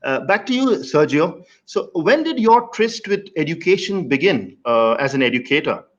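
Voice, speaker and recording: A man's voice: a little high, sing-song, normal speed, muffled recording. A man hosting an online interview, asking questions with lots of fillers. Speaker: interview host.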